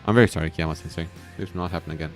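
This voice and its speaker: A man voicing an evasive, slightly sarcastic male high school student in a visual novel. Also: fairly even intonation, rapid-fire, natural voice. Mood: over-polite. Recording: background music.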